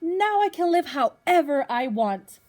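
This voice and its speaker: Young messy kid, male, easygoing, high voice performed by a woman reading aloud for a picture book. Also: big pitch swings, unhurried, darkish tone. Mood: carefree.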